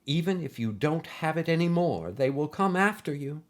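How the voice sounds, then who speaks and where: A man voicing a gently insistent older female teacher, an android spirit in an audio story, speaking earnestly and in a measured way. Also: on the high side, expressive, pitched above the performer's own voice, lightly acted. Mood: urgent, earnest. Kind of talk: audio story character acting.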